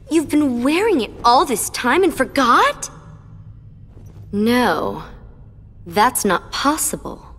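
A woman's voice: fairly high pitch, long reverb tail, full character voice. An actress voicing a sadistic young female villain in a game, taunting with a mocking sing-song manner. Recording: game cutscene with loud music.

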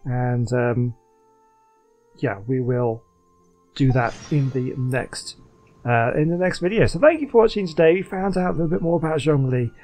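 A man's voice: mid-range voice, normal speed, darkish tone, soft background music. A man hosting a gaming video with casual, chatty commentary and dry humour. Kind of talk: casual let's play commentary.

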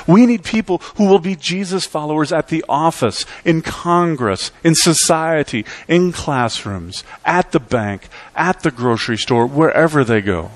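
A middle-aged man preaching in a church, speaking from a lectern with emphatic, rhythmic delivery that swings between loud peaks and quiet drops. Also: lively intonation, measured pace, hardly any pauses, dry recording. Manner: emphatic preaching with sweeping pitch and volume swings. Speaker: pastor. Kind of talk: sermon. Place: church sanctuary.

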